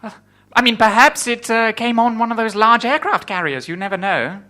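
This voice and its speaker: A woman's voice: on the high side, a little fast, sharp tone. A woman playing a naive, eager assistant in an improvised comedy radio play, wide-eyed and deferential. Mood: wide-eyed, puzzled. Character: naive, eager female assistant to an airport boss.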